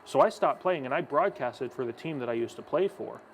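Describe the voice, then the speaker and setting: A man's voice: medium pitch, animated, vocal fry, a little hiss or hum. Young man calling hockey play-by-play. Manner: explanatory, then brisk play-by-play calling. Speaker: hockey broadcaster guest. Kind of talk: casual chat while gaming, then play-by-play.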